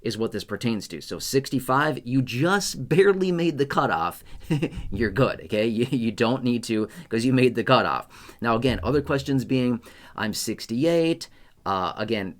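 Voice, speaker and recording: A man's voice: mid-range voice, expressive, normal speed. Reassuring man explaining rules to viewers. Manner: reassuring, repeats himself, frequent "okay?" check-ins. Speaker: YouTube explainer host.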